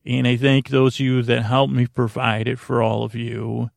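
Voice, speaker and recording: A man with a creaky voice hosting a bedtime podcast in a soothing, meandering monologue, hesitating and repeating himself as he goes. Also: mid-range voice, completely flat delivery, normal speed, no room sound. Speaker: podcast host.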